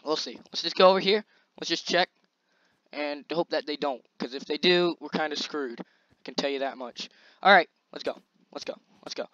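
A young man talks over his gameplay in a loose, slangy way, hyping himself up as the action goes. His voice is a little scratchy and sits slightly high for a man. The recording is dry and a bit dull, like a basic home mic. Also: moderate pace, no echo. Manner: excitable, chatty, slangy hype while playing. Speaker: gaming channel host. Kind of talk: casual gameplay commentary.

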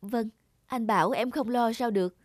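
A woman's voice: a little high, normal speed, no room sound, clean studio sound, natural voice. A woman voicing an anxious, protective young mother in a dubbed cartoon drama. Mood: worried.

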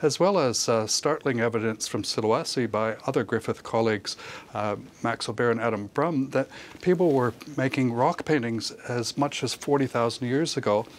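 An older man, a professor, explaining his research in measured academic phrasing as an expert guest in a broadcast interview. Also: mid-range voice, slight background noise. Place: television studio, remote link.

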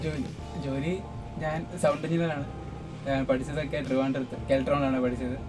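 A man's voice: mid-range voice, flat delivery, fairly quick, music in the background, rough recording. A man chatting casually as an interview guest in a dubbing studio. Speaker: interviewee, sound engineer and dubbing artist. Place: small dubbing studio suite.

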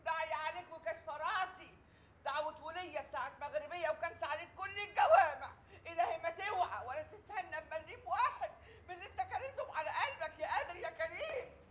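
A woman's voice: high voice, fast, a bit bright. An actress playing an older divorced mother who nags and curses her ex-husband, with an Egyptian accent. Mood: furious. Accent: Egyptian Arabic. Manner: nagging, cursing, mocking. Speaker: Umm Mar'i, ex-wife. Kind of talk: radio drama acting.

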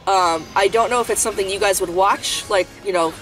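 A woman's voice: medium pitch, brisk, fairly bright tone, low-quality recording. A young woman chats loosely as she plays a game at home, her voice stuffy and congested from a lingering cold. She rambles, restarts and fills gaps with ums, with faint game music under her. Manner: rambling, many fillers and restarts. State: congested, sniffling. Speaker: gaming channel host. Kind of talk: casual let's-play chat. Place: home room, indoors.

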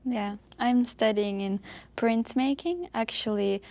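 Slow, hesitant young woman student. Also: fairly high pitch, a little mellow, no room sound. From Russia. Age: young adult. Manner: hesitant, many fillers. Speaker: student panelist. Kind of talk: casual panel chat. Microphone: lapel mic.

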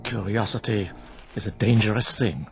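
A stern monk in a radio drama, low-pitched and unhurried, calm and measured in every word. Soft music plays beneath the scene. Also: male voice, vocal fry, breathy edge, full character voice. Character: stern monk of a remote religious order. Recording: radio play with music behind.

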